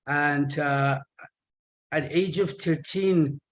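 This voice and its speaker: A man in his fifties from Iran, speaking with an Iranian accent and halting, repeated words as he gives a personal talk as a guest speaker. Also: fairly high pitch. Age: 50s. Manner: halting, stammered repetitions.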